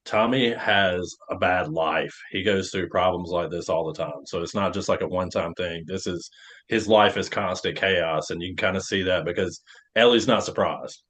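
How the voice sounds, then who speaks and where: A man with a deep voice speaks as a participant in a casual workshop discussion. He is measured and reasons his point through step by step. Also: a bit bright.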